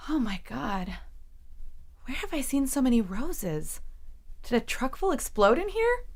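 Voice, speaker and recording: Young college girl, emotional and haunted, highish voice with lots of fry. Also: very animated, a little slow, heavily acted. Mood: amazed. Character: lovestruck, haunted young female college student.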